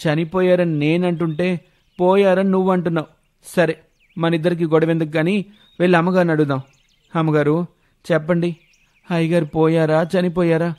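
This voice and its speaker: A man's voice: fairly high pitch, level tone, a little fast, pauses often, darkish tone, lightly acted. A man doing a whiny village simpleton. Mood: squabbling. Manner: childish bickering, sing-song whine. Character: two silly squabbling village simpletons, grown men. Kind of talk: cartoon voice acting.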